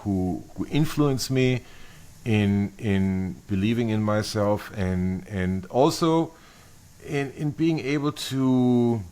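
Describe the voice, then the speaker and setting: A middle-aged man from Austria talks through his answers in a low voice. He pauses, restarts and searches for words as he thinks aloud in a relaxed interview. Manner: thoughtful, halting, many restarts and fillers. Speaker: bassist guest. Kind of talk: interview conversation.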